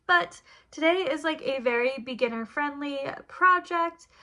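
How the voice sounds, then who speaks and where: Chatty congested young woman, high voice. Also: a little slow, bright, forceful tone. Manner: chatty, rambling, lots of likes and ums. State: congested from a recent illness. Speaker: crochet tutorial host. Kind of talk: casual step-by-step craft tutorial. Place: home, at a table.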